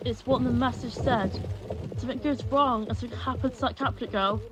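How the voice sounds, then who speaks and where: Actress as grim, fairly high-voiced police chief. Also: female voice, steady tone, fast talker, over music, lightly acted, low-quality recording. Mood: firm, commanding. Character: grim, wary female police chief in a dystopia. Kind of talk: audio drama acting.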